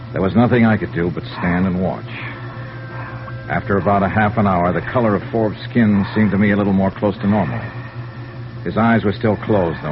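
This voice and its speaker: An American man acting a world-weary, wry insurance investigator in a 1950s radio detective drama, with clipped delivery. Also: low-pitched. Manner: clipped, wry, hard-boiled. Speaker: lead actor and narrator. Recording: dull old radio broadcast with music.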